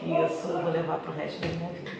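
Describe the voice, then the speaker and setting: A woman's voice: low-pitched, flat delivery, rapid-fire, vocal fry, a loud crowd. Echoey, distant Brazilian woman telling personal stories. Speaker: schoolteacher, podcast guest. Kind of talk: conversational interview, personal storytelling. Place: echoey indoor room, far from the mic. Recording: echoey room, distant mic, noisy background.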